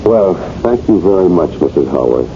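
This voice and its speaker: A man playing an earnest, dogged police lieutenant in a 1970s radio drama, with a halting but insistent manner. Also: deep voice, sing-song. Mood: puzzled, probing. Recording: muffled, hissy old radio broadcast recording.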